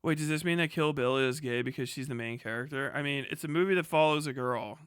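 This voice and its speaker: A man in his thirties chatting casually as a livestream host, swearing freely and riffing in a sarcastic, animated way. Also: a little high, fast talker, clean tone, dry recording, clean studio sound. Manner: profane, animated, sarcastic ranting.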